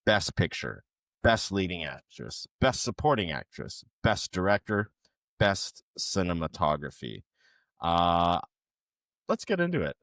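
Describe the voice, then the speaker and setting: A man with a notably deep voice leads a casual movie podcast. He runs through film credits and throws jokes to his co-hosts, recorded close and dry with no room sound. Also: very animated, a little slow, a bit bright, no room sound. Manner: leads the show, reads out film credits, jokey. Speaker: podcast host.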